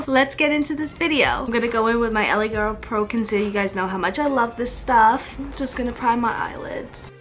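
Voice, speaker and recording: A woman's voice: high voice, a bit bright. A young woman giving a casual makeup tutorial, chatty and upbeat as she talks through each step, recorded outdoors. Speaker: beauty vlogger. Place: outdoors with wind and traffic.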